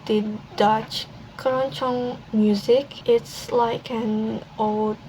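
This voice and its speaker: A young woman from Indonesia, a university student with an Indonesian accent, presenting casually in a second language with many pauses and restarts. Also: fairly high pitch, rough recording. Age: young adult. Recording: steady background noise.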